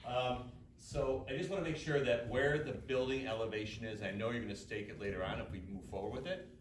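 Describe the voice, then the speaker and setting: Loud-voiced man in an echoey room. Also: medium pitch, fairly quick. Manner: careful, seeks clarity. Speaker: planning commission member. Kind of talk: questioning at a public meeting. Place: township meeting room. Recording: echoey room, distant mic, faint hiss.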